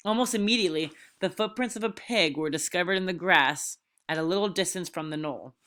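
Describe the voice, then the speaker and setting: A woman's voice: on the low side, fairly quick, a bit bright. A woman teacher reading aloud and explaining an assignment to her students in an informal, direct way.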